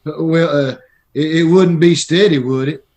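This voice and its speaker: A man's voice: fairly high pitch, normal speed, a little mellow, lightly acted. A man playing a meek, peculiar little drifter in a radio Western, speaking in a hesitant, folksy way. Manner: hesitant, simple, folksy drawl-like phrasing. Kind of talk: old-time radio Western acting.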